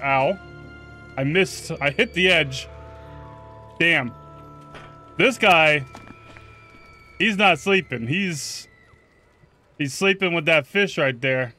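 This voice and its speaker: A man giving casual first-look game commentary, excitable and sweary, reacting aloud and chatting to his viewers. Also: a little high. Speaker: gaming video host.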